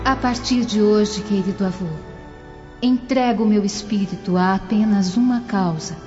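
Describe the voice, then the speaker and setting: A woman's voice: mid-range voice, measured pace, natural voice. Woman acting a devout young Roman in long reverb. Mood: solemn devotion. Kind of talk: solemn radio drama monologue. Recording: long reverb, dull audio. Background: loud music.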